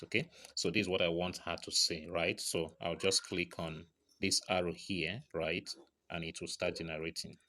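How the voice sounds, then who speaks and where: A man's voice: sits low, bright, forceful tone. A man narrating a casual step-by-step screen tutorial, often checking in with short tags like right and okay. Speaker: tutorial presenter.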